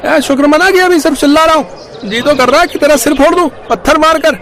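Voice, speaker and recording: A man voicing a boastful, scheming male panipuri seller in an animated village story, pushy and loud. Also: extremely high pitch, fast talker. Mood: angry. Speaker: cartoon voice actor.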